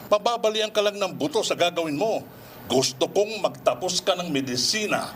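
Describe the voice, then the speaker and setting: A man's voice: sits high, animated, moderate pace, a bit bright, a little hiss or hum, voice pushed up. A man playing a stern, disapproving father who scolds his teenage daughter in a radio drama. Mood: stern scolding.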